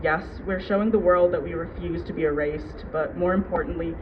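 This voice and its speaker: A man giving a prepared, heartfelt public speech outdoors, earnest and emphatic with deliberate pauses. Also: on the high side, steady tone. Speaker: community speaker at a ceremony. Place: outdoors at city hall. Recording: dull outdoor event audio with loud noise.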